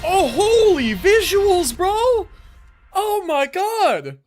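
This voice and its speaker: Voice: very high-pitched, very animated, drawn-out words. A young adult livestreamer giving casual, slangy, exclamatory commentary while reacting to gameplay. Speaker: video game livestreamer. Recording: game music behind the voice. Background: video game music and sounds.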